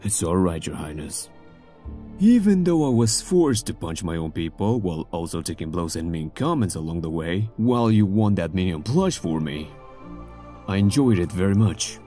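Loyal retainer, played by a man: stoic, formal, low and mellow, for parody game dub. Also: big pitch swings, normal speed. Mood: stoic, dutiful. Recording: background music under voice.

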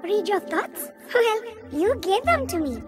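A woman's voice: very high voice, very animated, a little slow, full character voice. A woman voicing a cute, eerie childlike girl in a video game, with a teasing sing-song delivery that is playful and menacing. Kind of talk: video game cutscene acting. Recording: music over the voice.

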